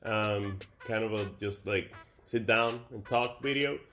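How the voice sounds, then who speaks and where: A man's voice: lowish voice, measured pace, a bit bright, faint music behind. Young man with a Dominican accent. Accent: Dominican Spanish. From Dominican Republic. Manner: rambling, many fillers and restarts. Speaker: YouTuber. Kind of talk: casual sit-down chat to camera.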